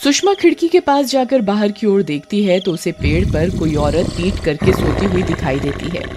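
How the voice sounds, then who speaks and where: Expressive woman narrating a horror story. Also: medium pitch, moderate pace, over loud music.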